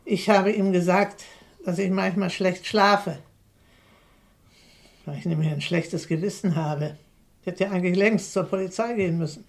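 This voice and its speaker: A woman's voice: fairly low pitch, normal speed, pauses often, natural voice. An actress playing a sly, outwardly polite female blackmailer in a crime radio play, speaking with insinuating mock deference. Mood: sly, calculating.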